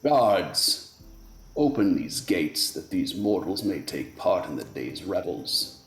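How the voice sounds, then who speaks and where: A man playing a proud, menacing lord of the dark fairies in a radio play, speaking slowly and grandly with disdain. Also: sits low, very animated, roomy sound, soft background music, heavily acted. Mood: grand, commanding. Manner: slow, grandly theatrical, disdainful.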